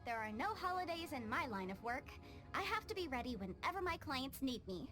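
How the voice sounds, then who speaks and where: A chatty, self-assured young consultant for a game cutscene. She has a high, breezy voice and an earnest, defensive streak, with faint music behind. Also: sing-song, brisk. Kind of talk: video game cutscene acting. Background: background music.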